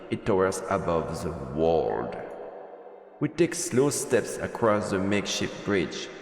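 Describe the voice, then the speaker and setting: A man does a let's-play of a visual novel, switching between read-aloud narration and brief reactions. His voice is somewhat low with a creaky edge. It rings with strong echo over faint game music. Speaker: streamer playing and reading a visual novel.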